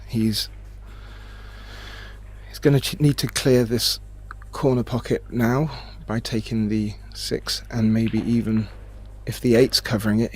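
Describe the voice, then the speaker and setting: A man giving expert pool analysis as co-commentator, thoughtful and a bit halting. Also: lowish voice, darkish tone, slightly rough. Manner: analytical, hesitant with fillers, laughs easily. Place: remote booth.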